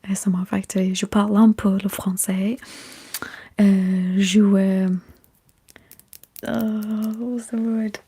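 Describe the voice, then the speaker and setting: Female ASMR streamer, mellow and heavily whispered, breathy, unhurried and halting, chatting to live viewers. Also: mid-range voice, flat delivery. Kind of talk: whispered ASMR livestream chat.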